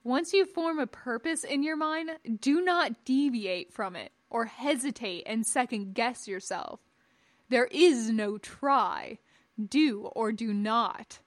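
A woman's voice: fairly high pitch, slow pace, no echo. A woman voicing an imperious, scornful male Jedi master in a stern, formal, lecturing manner, in an audiobook-style story. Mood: stern, commanding. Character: tall imperious old Jedi master, scornful, pompous man.

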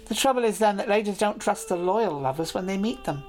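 A woman's voice: mid-range voice, animated, brisk, a little mellow, pitched above the performer's own voice, natural voice. Woman playing a shy lovestruck knight. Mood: earnest, pleading. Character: young male knight, brave but lovestruck, shy.

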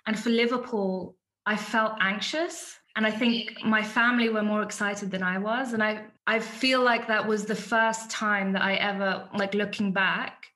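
A woman's voice: medium pitch, fairly even intonation, unhurried, hardly any pauses, pushed and bright. A woman, telling her story as a podcast guest in a candid, chatty way. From born in Iraq, raised in London. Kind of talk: casual interview chat.

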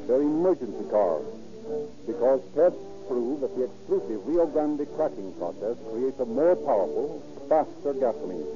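A man with an American accent announces in an emphatic 1930s radio manner. His voice sits at a middle pitch and is formal and declamatory. The old recording is dull, with music clearly audible.

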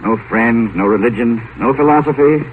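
An actor playing a cynical, world-weary professor who needles quietly, musing and sardonic, in mid-century radio drama style. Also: male voice, mid-range voice, moderate pace, natural voice. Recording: noisy, tinny 1950s radio broadcast.

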